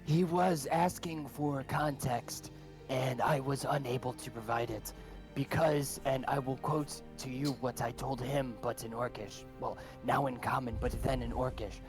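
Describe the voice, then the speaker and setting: A man improvising in character as a tabletop game player, with formal, literal, deadpan phrasing. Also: medium pitch, a little slow, music in the background.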